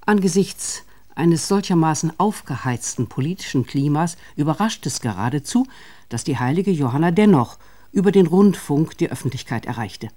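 A man reading a scripted radio documentary in a measured, formal manner. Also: fairly high pitch, animated, a little mellow. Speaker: narrator of a radio feature.

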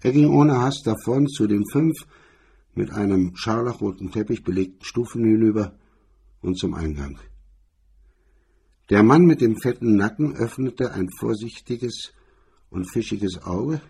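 A man with a husky, raspy voice reading a hardboiled crime story as a narrator in a measured, literary storytelling style. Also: lowish voice, animated, dark tone.

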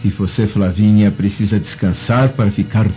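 A Brazilian man playing a dignified, affectionate Roman husband and father in a radio drama, formal yet gentle. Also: lowish voice, steady tone, normal speed, close to an everyday voice. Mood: tender. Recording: dull lo-fi audio, faint music.

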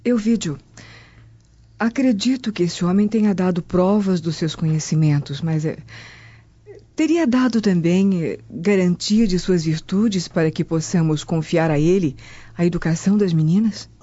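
A woman's voice: fairly low pitch, moderate pace, warm tone, highs cut off, natural voice. A woman playing a dignified, cautious noble Roman matron in a radio drama. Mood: cautious, doubting.

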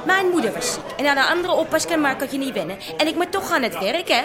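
High-voiced feisty barmaid. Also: female voice, expressive, quick pace. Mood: defiant, reproachful. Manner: sarcastic, combative. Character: feisty, defiant young working mother behind a bar. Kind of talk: naturalistic radio drama dialogue. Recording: busy pub crowd and music.

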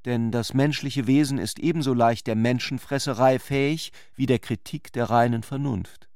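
A man's voice: medium pitch, fairly even intonation, normal speed, a little mellow, dry recording, clean studio sound. A man narrating a literary radio drama from a script.

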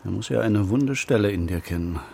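A man's voice: fairly low pitch, a little fast, vocal fry, warm tone, husky. An actor playing a stern, suspicious man in a radio play, questioning in a severe, probing manner with formal diction. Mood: skeptical. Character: stern, suspicious man interrogating a young relative. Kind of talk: German radio play acting.

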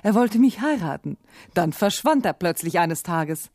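A woman's voice: lowish voice, animated, a little slow, darkish tone, no room sound, studio quality. An actress playing a cynical, world-weary murderess in a noir radio play, cool and sardonic in her delivery. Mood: weary, bitter.

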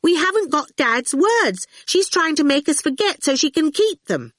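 A woman's voice: very high-pitched, expressive, slow, a bit bright, no room sound, studio quality, pitched above the performer's own voice, full character voice. A woman voicing a rude, defiant young girl in an audiobook, blunt and insistent. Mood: loud, insistent. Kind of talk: audiobook character voice.

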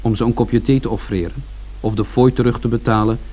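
An actor playing a reflective son who narrates a bleak family story in a radio drama. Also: male voice, mid-range voice, steady tone, fairly quick, mellow, close to an everyday voice. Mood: matter-of-fact, sombre. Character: George, reflective younger son recalling his family's bleak Saturday. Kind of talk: scripted first-person narration in radio drama.

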